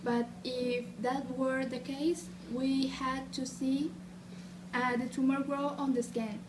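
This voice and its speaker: A cautious oncologist acted by a student woman, speaking slowly and haltingly in a high voice. It is an amateur dramatization, recorded at a distance in an echoey room. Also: flat delivery. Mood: measured, serious. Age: teenager or young adult, high school student. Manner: halting, stumbling delivery of lines. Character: cautious female cancer doctor. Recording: echoey room, distant mic, clear background noise.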